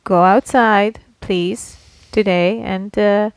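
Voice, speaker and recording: A woman's voice: mid-range voice, steady tone, slow pace, clear, resonant tone, no echo. A woman co-hosting a casual podcast, pushing back argumentatively with the odd mild swear word. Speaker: podcast co-host. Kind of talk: casual argumentative banter between co-hosts.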